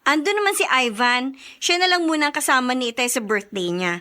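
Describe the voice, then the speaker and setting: An actress playing a busy, breezy younger sister in a Filipino radio drama. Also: female voice, sits high, expressive, brisk, pushed and bright. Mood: breezy, apologetic.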